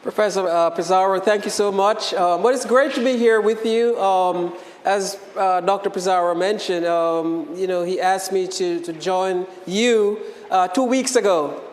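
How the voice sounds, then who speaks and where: A middle-aged Jamaican man lectures students in a high, bright-pitched voice, friendly and full of ums as he keeps urging them to ask questions. He speaks into a lapel mic, and his voice rings through an echoey hall with a long reverb tail. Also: a little slow, few pauses. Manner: friendly, filler-heavy, keeps inviting questions. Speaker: guest lecturer, university program director. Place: lecture room. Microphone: lapel microphone.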